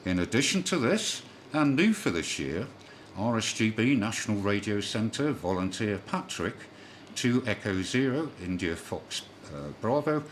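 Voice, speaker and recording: A man reading a scripted amateur radio news bulletin as a newsreader, with the occasional slip. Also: lowish voice. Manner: spells out callsigns in phonetic alphabet, occasional slips. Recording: clearly noisy shortwave radio broadcast.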